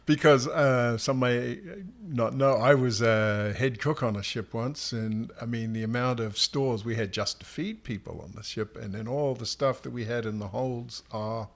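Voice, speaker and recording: A man with an average-pitched voice co-hosts a casual livestream as an author. He talks in an easygoing, conversational way, feeling for his words as he goes. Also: moderate pace, vocal fry, hardly any pauses.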